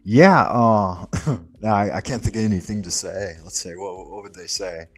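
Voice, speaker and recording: A man's voice: sits low, a little slow, faint music behind. A man hosting a stream, rambling in casual chat and swearing freely. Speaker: streamer host.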